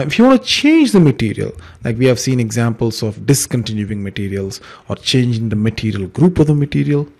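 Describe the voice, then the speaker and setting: A man giving an informal step-by-step software tutorial in a conversational, explanatory way, with a gravelly, husky voice. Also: mid-range voice. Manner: explanatory, conversational, uses examples. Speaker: software trainer, instructor.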